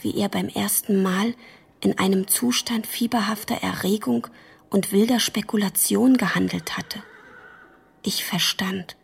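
A horrified woman narrating in a radio play. Her whisper is extremely husky and thick with creak, hushed and intense. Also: medium pitch, steady tone, a little slow, full character voice. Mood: hushed horror. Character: horrified, fascinated woman recounting a grisly tale.

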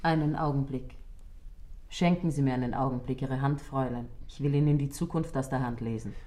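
An actress in a German radio play as a sad, solemn palm-reading fortune-teller with a grave, mysterious manner. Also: female voice, rumbling low, steady tone, fairly quick, dark tone. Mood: solemn, somber.

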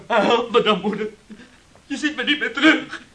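A man's voice: extremely high pitch, normal speed, sharp tone, exaggerated voice. A man playing a terrified young fisherman in a radio play, wailing, pleading and defiant in desperation. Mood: terrified, desperate. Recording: echoey old radio play recording.

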